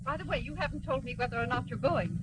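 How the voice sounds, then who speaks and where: A woman's voice: sits high, fast, close to an everyday voice. An actress playing an eager, friendly woman in a 1930s film. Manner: eager, enthusiastic. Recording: old film soundtrack with loud hiss.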